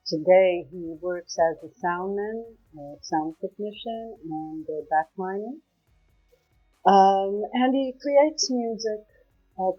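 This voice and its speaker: A woman from Israel being interviewed, speaking hesitantly with many pauses. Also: husky. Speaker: guest, mother of a hostage. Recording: muffled low-quality call audio.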